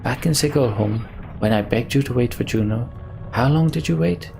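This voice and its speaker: A man's voice: mid-range voice, moderate pace, creaky, a little mellow, pitched above the performer's own voice, close to an everyday voice. A man voicing a weary, bitter fantasy game mage who speaks in a reproachful, pleading way. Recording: game music behind the voice.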